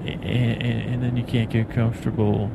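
A man hosting a bedtime podcast in a meandering, halting ramble, stammering and repeating words. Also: mid-range voice, creaky. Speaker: podcast host. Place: on an airplane in flight. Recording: steady airplane cabin noise behind voice. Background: airplane cabin drone.

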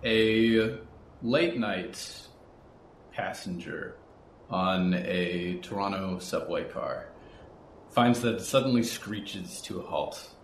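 Guy podcast host, deep voice, storyteller style, echoey with a bit of noise behind. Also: slow pace. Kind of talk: atmospheric storytelling and interview hosting. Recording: roomy echo, audible background noise.

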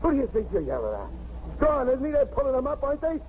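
An actor playing a gruff, streetwise American policeman, blunt and urgent, in 1950s radio drama style. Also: very high voice, huge pitch swings, quick pace, full character voice. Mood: angry, exasperated. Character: gruff, streetwise New York policeman, stubborn but caring. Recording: dull, noisy 1950s radio recording.

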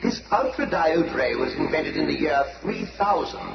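A man's voice: a little high, lively intonation, moderate pace, gravelly. An actor playing a grandiose, boastful male villain posing as a pharaoh, in a 1960s cartoon. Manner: pompous, boastful monologue. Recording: dull lo-fi old broadcast with music.

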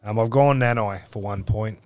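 A man's voice: fairly low pitch, normal speed, darkish tone. Laid-back, rambling man. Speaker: podcast co-host. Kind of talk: casual sports podcast chat.

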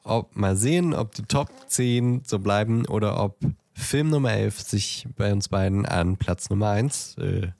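A man's voice: on the low side, a little slow, creaky, few pauses, a little mellow, no room sound, clean studio sound. A man co-hosting a podcast, chatting casually about films and often searching for words. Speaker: podcast co-host.

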